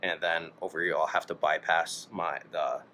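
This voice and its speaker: Low-voiced man musing over a noisy recording. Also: little pitch movement, moderate pace, bright, forceful tone. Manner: hesitant, musing, talking half to himself. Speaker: DIY electronics hobbyist narrating his work. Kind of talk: casual thinking-aloud workshop chat.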